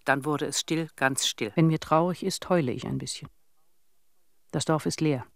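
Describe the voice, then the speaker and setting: A woman's voice: sits low, no echo, studio quality. An older actress playing a lonely, sorrowful old village woman, telling her story plainly and reflectively in a radio drama monologue. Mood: quiet sorrow.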